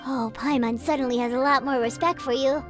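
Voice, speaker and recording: An actress voicing a tiny, excitable female fairy companion in a video game, who refers to herself by name and blurts out questions. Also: sits high, a little fast, natural voice. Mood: excited. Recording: game audio with loud music behind.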